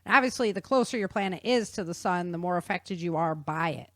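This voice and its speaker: A woman's voice: fairly low pitch, expressive, a little fast, dry recording, clean studio sound. A woman presenting an informal explanatory science talk in a casual, conversational, slangy way. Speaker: author and presenter.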